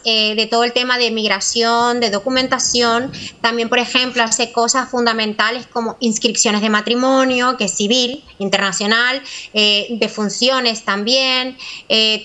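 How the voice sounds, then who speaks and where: A woman's voice: on the high side, bright, forceful tone. A woman in her thirties with a Venezuelan accent, explaining things as an expert guest on a video call. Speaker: immigration lawyer, guest. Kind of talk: explanatory interview talk. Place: small office, indoors.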